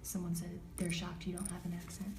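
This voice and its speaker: A woman's voice: fairly low pitch, monotone, a little fast. A woman assistant casually reading out viewer questions for a live demonstration, recorded in an echoey room. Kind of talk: casual live-stream moderation. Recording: echoey room with background noise.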